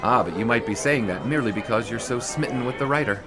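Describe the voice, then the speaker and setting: An actor playing a young 1920s college sportswriter who narrates in ornate prose and banters teasingly. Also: male voice, medium pitch, fast, lightly acted. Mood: playful. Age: young adult. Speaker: student sports writer. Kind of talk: 1920s radio drama. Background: music.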